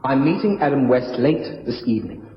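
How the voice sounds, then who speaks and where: An actor playing a desperate blackmailed husband in a radio drama, protective and resolute, speaking haltingly with grim determination. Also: male voice, medium pitch, very animated, close to an everyday voice. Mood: grim resolve. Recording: muffled, echoey room, long reverb, faint noise.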